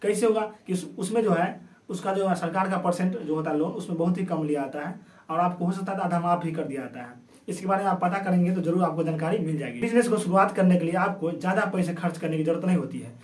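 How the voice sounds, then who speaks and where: Echoey, high-pitched man presenting with sore throat. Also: fast talker, a little mellow. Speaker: video host. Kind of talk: informal explainer narration. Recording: roomy echo, distant from microphone.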